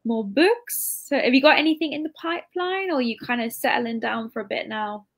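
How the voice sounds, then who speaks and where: Chatty, high-voiced young woman hosting. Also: a little fast, fairly bright tone. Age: 20s. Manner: chatty, effusive. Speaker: interviewer, bookshop event host. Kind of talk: casual live interview chat. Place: indoor room at home, on a video call.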